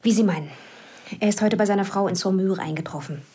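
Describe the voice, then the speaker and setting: An actress playing a cool, calculating police investigator who talks tersely, with whispered inner asides. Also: female voice, mid-range voice, fast, dark tone, slightly rough, lightly acted. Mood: cool, pressing. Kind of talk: radio play acting.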